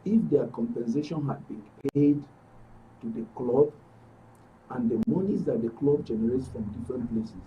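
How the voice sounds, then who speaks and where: A man's voice: medium pitch, normal speed, dark tone. A man with a Nigerian accent arguing his points insistently as a guest in a panel discussion. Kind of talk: argumentative studio discussion. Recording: dull, distant, faintly noisy mic.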